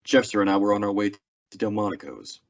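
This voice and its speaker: A man's voice: lowish voice, fairly quick, noisy background, natural voice. A young man acting a weary, plainspoken Old West marshal in a radio Western drama. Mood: grim narration. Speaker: actor in a school radio theatre production. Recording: dull lo-fi radio-play recording.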